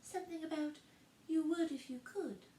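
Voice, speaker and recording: A woman voicing a tiny, sad male insect who speaks in a small, high, half-whispered murmur. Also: moderate pace, dark tone, echoey room, a little hiss or hum, close to an everyday voice. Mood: plaintive.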